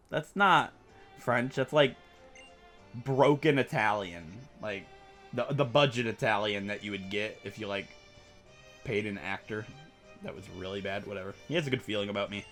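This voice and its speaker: A man's voice: lowish voice, moderate pace, pauses often, a bit bright, faint music behind. A man giving rambling, casual commentary over a video game he is playing, full of asides and filler words. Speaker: let's play host.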